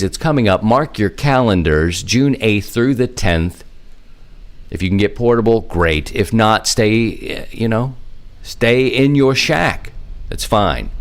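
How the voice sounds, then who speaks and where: A man hosting a casual hobby podcast, making announcements in a folksy, informal way with bits of slang. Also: low voice. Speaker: podcast host.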